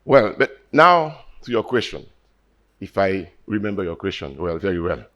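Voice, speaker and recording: A man from Haiti, a native French speaker with a Haitian French accent, speaking on a panel, earnest and halting. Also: low voice, sing-song, a bit bright, muffled recording. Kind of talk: panel discussion answer.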